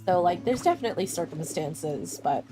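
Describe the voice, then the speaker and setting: A woman's voice: on the low side, sing-song, moderate pace, over music. A young woman streaming a game, chatting casually and rambling with frequent laughs as she answers her chat. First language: English. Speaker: gaming streamer. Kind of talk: casual chat with live chat while playing.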